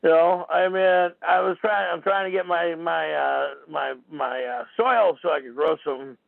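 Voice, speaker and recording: A man's voice: fairly high pitch, measured pace, creaky, dry recording. A man hosting a call-in talk show, talking in a rambling, repetitive, argumentative way. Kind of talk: rambling call-in radio monologue. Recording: tinny phone-line call-in audio.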